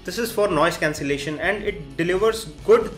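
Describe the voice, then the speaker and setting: A man's voice: high voice, moderate pace, faint music behind. A man giving a methodical, explanatory phone review commentary while demonstrating. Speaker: tech reviewer, host.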